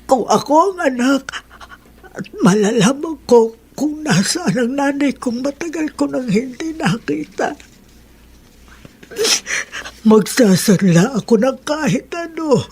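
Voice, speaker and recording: An actress playing an aging, humble, wounded mother in a radio drama, halting and tearful. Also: high-pitched, very animated, darkish tone, slightly rough, full character voice. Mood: bitter sorrow. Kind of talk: Tagalog radio drama acting.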